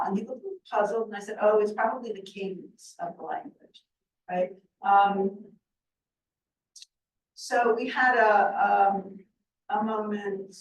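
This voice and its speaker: An older woman answering questions on a video call in a chatty way, laughing often and trailing off softly. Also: mid-range voice. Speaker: presenter. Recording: echoey room from far off, faint noise.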